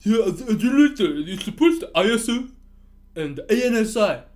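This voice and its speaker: A man's voice: sits high, big pitch swings, moderate pace, a little mellow. A man whose first language is not English, chatting casually on a livestream, ranting animatedly and swearing freely.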